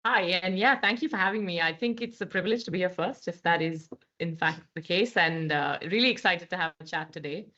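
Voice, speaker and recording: A woman's voice: fairly low pitch, fairly quick, few pauses, pushed and bright. A woman in her thirties with an Indian accent, a guest speaking articulately in a podcast interview with frequent fillers. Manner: articulate, many fillers and restarts. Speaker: guest, managing director. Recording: slightly dull lo-fi sound.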